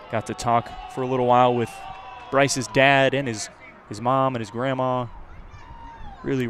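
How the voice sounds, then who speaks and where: A man does live radio baseball commentary at a medium pitch, with outdoor ballpark noise behind him. He talks conversationally between pitches, filling the time with background on the players. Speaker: play-by-play announcer. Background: outdoor ballpark ambience.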